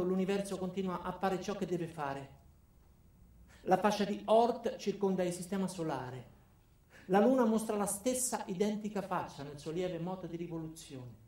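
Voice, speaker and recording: A man's voice: sits high, moderate pace, warm tone, roomy sound, close to an everyday voice. An actor playing an ordinary man turned mean, telling his own story in a terse, matter-of-fact comic monologue for radio. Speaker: narrator and lead actor.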